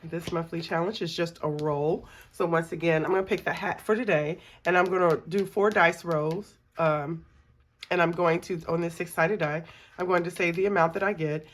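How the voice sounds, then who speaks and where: A woman's voice: fairly low pitch, fairly even intonation, normal speed. A middle-aged woman, a scratchy voice from a cold, chatting casually with many ums and counting money aloud. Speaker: budgeting channel host. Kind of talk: casual chat while cash stuffing.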